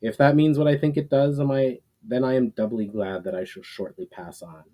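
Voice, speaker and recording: A man's voice: medium pitch, a little fast, mellow, natural voice. Man reading as weary, repentant fallen warlord. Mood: worried.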